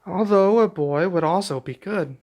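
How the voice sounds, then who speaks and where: A man playing a young king and doting expectant father in an intimate ASMR roleplay, speaking softly and affectionately with some hesitation. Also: high voice, normal speed, close to an everyday voice. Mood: playful, affectionate. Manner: soft, affectionate, hesitant delivery.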